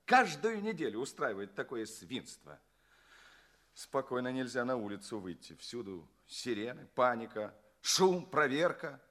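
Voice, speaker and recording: Jaded alien grumbler, irritable, exclamatory, medium pitch, very lively intonation, theatrical audio play. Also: male voice, unhurried. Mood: weary complaint. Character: grumbling, jaded male alien townsman, fed up.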